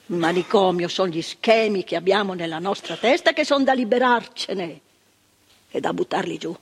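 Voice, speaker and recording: A proud older retired carer. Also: female voice, on the low side, normal speed, breathy edge. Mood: fervent. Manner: emphatic, building repetitions, conversational asides to the listener. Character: retired female psychiatric worker, proud, impassioned, reflective. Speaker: stage actress in a monologue. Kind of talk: theatre monologue. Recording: stage recording with long reverb.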